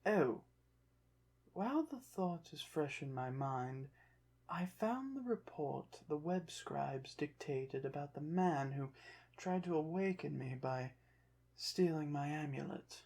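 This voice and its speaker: A performer in an ASMR roleplay voicing a haughty, prideful undead Egyptian pharaoh, slow and softly acted, with pompous, formal phrasing. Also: mid-range voice, lively intonation, vocal fry, heavily acted. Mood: curious, haughty.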